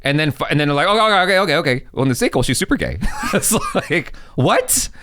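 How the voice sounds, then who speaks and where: A man co-hosting a movie reaction chat, animated and teasing, with many restarts. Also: fairly high pitch, quick pace, no echo, studio quality. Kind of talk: casual chat.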